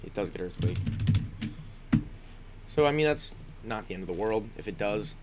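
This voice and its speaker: A man's voice: on the low side, lively intonation, normal speed, background noise. Congested man thinking aloud over a game. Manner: thinks aloud, frequent ums and restarts. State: congested, sniffling. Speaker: gaming YouTuber. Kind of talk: casual gameplay commentary.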